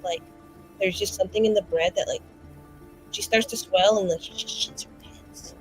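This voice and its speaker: A woman's voice: mid-range voice, normal speed, soft background music, low-quality recording. A woman player speaking in character in a tabletop game, chatty and rambling with constant fillers. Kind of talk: in-character roleplay.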